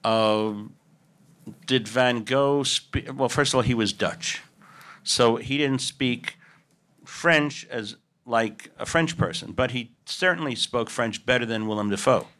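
A man's voice: medium pitch, slow, fairly bright tone. A man answering audience questions at a film panel, musing aloud and answering his own rhetorical questions. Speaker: film director at a Q&A.

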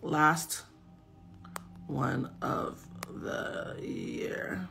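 A woman's voice: a bass voice, huge pitch swings, extremely slow, creaky, fairly bright tone, soft background music. A woman in her forties hosting a casual live stream, talking emphatically to viewers and reading out their comments. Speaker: host of a live stream and self-mastery coach. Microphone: phone.

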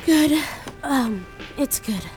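Nervous grieving woman, guarded, slow halting delivery, horror podcast drama with music under it. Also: sits high, lively intonation, heavily acted. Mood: uneasy, guarded. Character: anxious, grieving young woman struggling to stay calm in public. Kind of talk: audio horror drama acting. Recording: loud music bed behind the voice.

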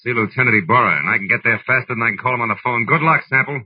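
A man's voice: medium pitch, rapid-fire, music in the background, natural voice. A man acting a hard-boiled, wry private detective with an American accent and clipped, slangy delivery in a 1940s radio drama. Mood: urgent, commanding. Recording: muffled old-time radio recording.

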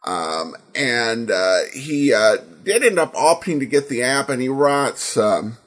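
An American man hosting a podcast with informal commentary, hesitant and full of filled pauses. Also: medium pitch, lively intonation, a bit bright. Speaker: podcast host.

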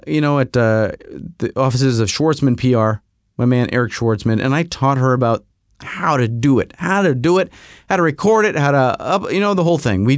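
A man's voice: mid-range voice, no room sound, studio quality. A man hosting a podcast who tells a rambling comic story full of self-mocking asides. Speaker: podcast host.

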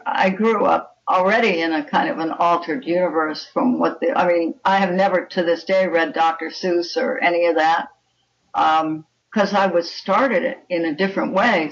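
Older lady author, creaky voice, strong opinions, sounds distant and kinda dull. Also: fairly low pitch. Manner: opinionated, emphatic. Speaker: author guest. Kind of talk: conversational interview. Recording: muffled, distant microphone.

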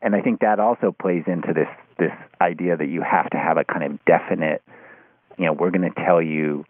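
A man's voice: low-pitched, fairly quick, no echo. A man in a casual, analytical discussion, speaking in hesitant, circling, heavily hedged phrases. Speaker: podcast discussion participant. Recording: muffled phone-like line.

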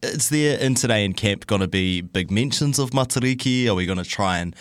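Kiwi producer guy, deep voice, rambles through his question, dry close sound. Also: lively intonation, brisk, dry recording. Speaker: radio show producer. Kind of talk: casual radio chat.

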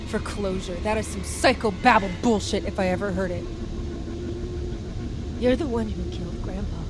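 A woman's voice: mid-range voice, normal speed, over music, lightly acted. An actress playing an anguished, defiant young woman in an audio drama, accusing and emotionally raw. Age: young adult. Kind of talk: audio fiction drama acting.

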